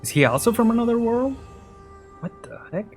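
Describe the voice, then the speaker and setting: A man's voice: very high-pitched, sing-song, a little fast, faint music behind. A man giving casual, slangy playthrough commentary as a gaming host, with informal muttered reactions.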